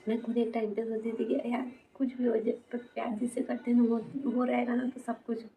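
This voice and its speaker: Halting young woman, tinny noisy recording. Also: a little high, level tone. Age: 20s. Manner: halting, repetitive, trailing off mid-sentence. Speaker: vlogger. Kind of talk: personal confessional talk to camera. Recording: tinny phone-like sound, noisy background.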